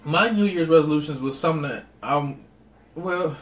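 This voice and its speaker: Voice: medium pitch, normal speed, a bit bright, distant mic. A podcast co-host who chimes in casually and asks questions. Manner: questioning, chiming in. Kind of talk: casual chat. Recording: off-mic with faint noise.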